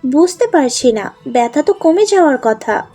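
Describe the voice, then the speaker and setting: Woman playing a high-voiced, brisk big sister. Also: sing-song, warm tone, faint music behind. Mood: concerned.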